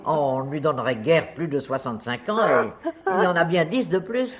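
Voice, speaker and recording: A woman's voice: low voice, lively intonation, normal speed, slight background noise. A woman playing a genteel, gossipy old lady who gushes and exclaims in a French theatre play. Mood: fond admiring. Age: older. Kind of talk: French radio play acting.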